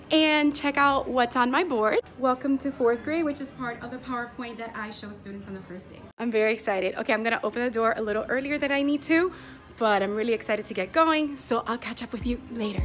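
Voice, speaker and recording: An excitable young woman talks to a propped-up camera in her classroom, chatting about her school day. Her voice sits a little on the high side, and her manner is upbeat and chatty. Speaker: fourth grade teacher and vlogger. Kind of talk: casual vlog chat. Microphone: camera propped nearby.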